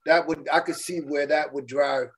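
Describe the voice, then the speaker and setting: A man's voice: a little high, steady tone, moderate pace, slightly rough. A middle-aged man co-hosting a podcast over a video call, laid-back and chatty, often saying "you know what I'm saying" and "man.". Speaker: podcast co-host. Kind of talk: casual interview chat. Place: indoor room with virtual backdrop. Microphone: webcam on a video call.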